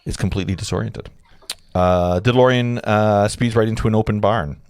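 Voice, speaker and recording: A man's voice: lowish voice, normal speed, a little mellow, smooth, no room sound. A man narrating screenplay directions in an informal live read, stumbling and correcting himself with amused asides.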